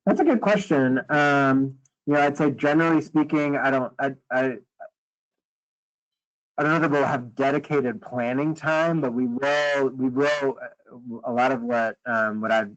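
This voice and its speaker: A man leading a conference call, briefing informally in a hesitant, halting way and often rephrasing mid-sentence. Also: mid-range voice. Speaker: meeting leader on a conference call. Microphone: conference call line. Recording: dull, lo-fi conference call audio.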